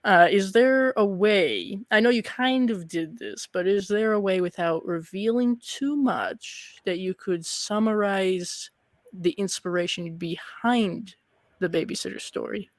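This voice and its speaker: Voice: mid-range voice, a little slow, no echo. A casual interview host with a mid-range voice, recorded close and dry. The delivery is conversational, with playful asides dropped in along the way.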